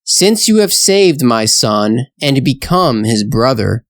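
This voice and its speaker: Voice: mid-range voice, very animated, takes it slowly, no room sound, studio quality. A narrator voicing an old male sea dragon king, stern but hospitable, in a storybook tale. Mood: stately.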